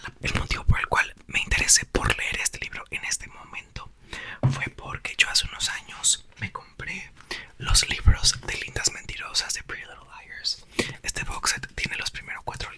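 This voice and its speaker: A young man, whispering softly into a close mic as he chats about a book for ASMR. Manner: soft whisper throughout. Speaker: ASMR creator. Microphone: small mic clipped to a doll's hair.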